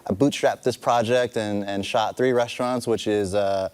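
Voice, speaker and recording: A young man from the Bay Area talks as a panel guest, relaxed and enthusiastic with loose phrasing. His voice sits fairly low, with a dark, warm tone and a smooth, clean sound. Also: moderate pace. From San Francisco Bay Area. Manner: easygoing, enthusiastic, loosely worded. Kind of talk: live panel conversation.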